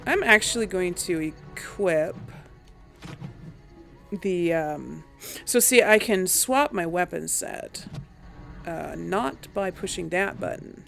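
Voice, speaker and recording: A woman's voice: lowish voice, expressive, takes it slowly, creaky, a bit bright, soft background music. A woman giving casual let's play commentary on a video game, thinking aloud as she plays. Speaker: gamer narrating a role-play playthrough.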